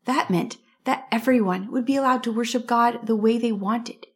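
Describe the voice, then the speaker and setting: A woman hosting a church history podcast, narrating in a steady storytelling way, a little hoarse from a cold. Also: on the high side, normal speed.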